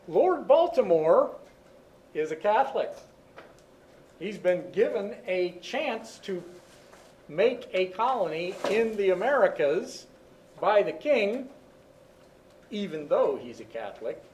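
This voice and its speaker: A man's voice: high-pitched, very animated, takes it slowly, long pauses, darkish tone, a little hiss or hum. Man teaching from across an echoey room. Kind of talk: classroom lecture. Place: classroom. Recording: echoey classroom, far from the mic.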